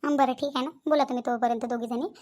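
A woman's voice: sits high, steady tone, rapid-fire, darkish tone, natural voice. A woman voicing a gossipy, neighbourly village woman in a cartoon, chatting casually with a Varhadi Marathi accent. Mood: chatty. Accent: Varhadi Marathi (Vidarbha). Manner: gossipy, familiar.

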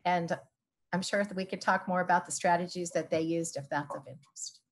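A woman with a fairly low voice speaks over a video call from a room at home. She explains things in a measured way as a panelist, pausing often with ums and uhs. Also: steady tone. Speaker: historian panelist. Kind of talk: panel discussion answers.

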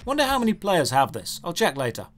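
A man's voice: high voice, huge pitch swings, fast. A man narrating a scripted comedic video game review in a sarcastic, exasperated, emphatic way.

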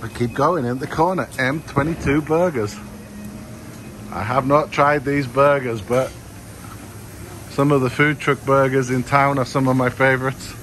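A man's voice: mid-range voice, steady tone, a little slow, a bit bright. A man narrating a walking tour of a food court, exclaiming with enthusiasm over the dishes. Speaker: vlogger touring a food court. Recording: noisy outdoor street recording.

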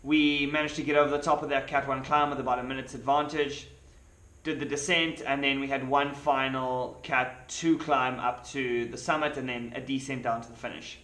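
Young guy talking race recap into a camera, bright voice, echoey little hotel room. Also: medium pitch, normal speed. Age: young adult. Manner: relaxed, matter-of-fact, with small restarts. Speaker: pro cyclist vlogger.

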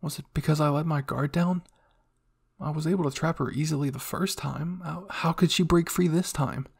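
A man's voice: fairly high pitch, moderate pace, vocal fry, slightly rough. A man voicing the inner thoughts of a desperate, self-doubting fighter in an audio story, with intense and strained delivery. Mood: dismayed. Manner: intense, swinging from whispers to strained cries.

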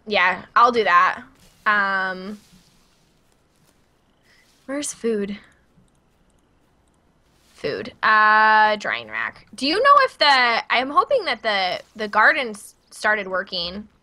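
A woman's voice: mid-range voice, sing-song, extremely slow, pauses often, sharp tone. A woman with a Midwestern American accent, chatting casually as she streams a co-op video game. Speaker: gaming streamer. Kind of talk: casual co-op gameplay chat.

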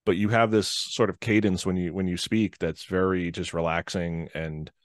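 Deep nasal man, dry close sound. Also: flat delivery, normal speed, dry recording. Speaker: podcast guest. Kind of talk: casual chat over a video call. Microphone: headset and podcast microphone on video call.

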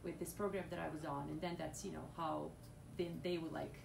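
A woman's voice: low-pitched, steady tone, brisk, creaky. A woman in her thirties from Bosnia, a guest chatting candidly on a radio show in a studio, with lots of fillers and restarts. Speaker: weight loss client guest. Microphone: boom mic, seen from afar. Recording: echoey studio, far camera mic, noisy.

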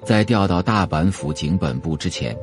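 A man's voice: low-pitched, lively intonation, moderate pace. A man reading scripted narration for an audio drama, telling the story as a novel's narrator. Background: faint music.

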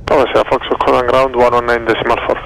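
A man working as an air traffic controller, giving clipped clearances over aviation radio. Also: medium pitch, little pitch movement, racing, a bit bright, rough recording. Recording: radio transmission with background hum.

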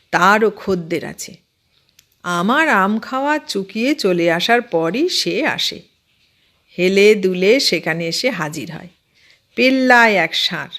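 Slow-paced woman narrating a comic story. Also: medium pitch, a bit bright. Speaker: audiobook narrator. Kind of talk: scripted narration of a humorous story.

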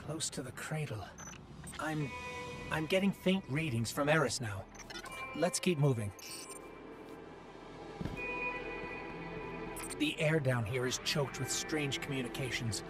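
An actor playing a small, earnest, anxious robotic companion, speaking expressively and thoughtfully in a game. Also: male voice, fairly high pitch, very animated, moderate pace. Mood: bewildered, wary. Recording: loud music and game sound behind.

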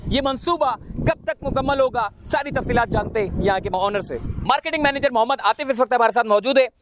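A man in his thirties with a strikingly high voice hosts a property show outdoors at a building site. He talks into a handheld mic in a brisk promotional manner and presses his guest with direct questions. Faint traffic noise hums in the open air behind him. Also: lively intonation. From Pakistan. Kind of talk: on-location property interview.

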